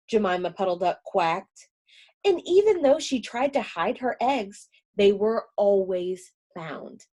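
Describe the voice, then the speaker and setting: A woman reading a children's storybook aloud, gentle and expressive. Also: mid-range voice.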